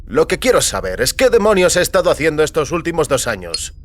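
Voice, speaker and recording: A man's voice: a little high, very animated, fast talker, voice pushed up. A man voicing an uneasy, irritable male technician in a science fiction audio story, blunt and questioning. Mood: confused, irritated.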